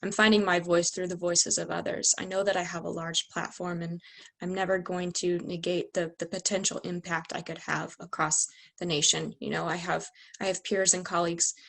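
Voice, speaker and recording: Young female student leader, lowish flat voice, reflective. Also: normal speed, vocal fry. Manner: reflective, searching for words. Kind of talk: roundtable discussion.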